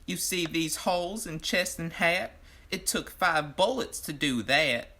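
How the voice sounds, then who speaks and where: An actress playing a knowing, matter-of-fact forensic examiner with a husky voice in a rhyming comic audio drama. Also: female voice, on the low side, animated, unhurried, a bit bright, heavily acted. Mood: emphatic, knowing.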